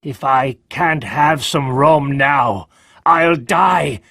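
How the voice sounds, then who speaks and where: A man voicing a sick, fierce old sea captain who drawls, pleads and curses in a gravelly, husky voice. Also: mid-range voice, very slow, sharp tone, no echo, pitched above the performer's own voice, full character voice. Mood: pleading.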